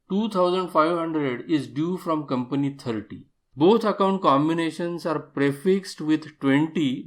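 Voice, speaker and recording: Male instructor, somewhat high-pitched, methodical explanatory tutorial read with emphasis on key terms. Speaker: accounting software instructor.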